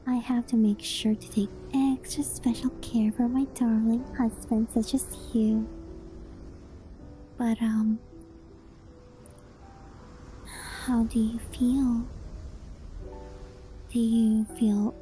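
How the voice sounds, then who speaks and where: Woman as adoring kitsune wife for ASMR roleplay: very mellow, unhurried, shy, affectionate, whispered touches, music behind. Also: a little high, steady tone, low-quality recording. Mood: shy, hesitant. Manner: coy, hesitant, affectionate, softly breathy with whispered phrases. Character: doting, clingy, obsessive young kitsune fox-spirit wife. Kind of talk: ASMR roleplay, intimate sleep-aid monologue.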